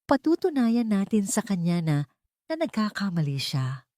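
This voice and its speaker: A woman voicing a hot-tempered, defiant young man in love in an audio story, forceful and emphatic. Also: medium pitch, big pitch swings, moderate pace, no room sound, studio quality, voice pushed up, close to an everyday voice. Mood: determined.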